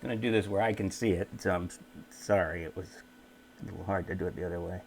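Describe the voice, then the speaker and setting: A man with a low-pitched voice hosts a casual repair tutorial. He talks in halting phrases, musing to himself as his hands are busy. The delivery is unscripted and practical. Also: fairly even intonation, creaky, background noise. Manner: halting, musing aloud while working. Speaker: host of a repair tutorial channel. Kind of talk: casual hands-on how-to commentary.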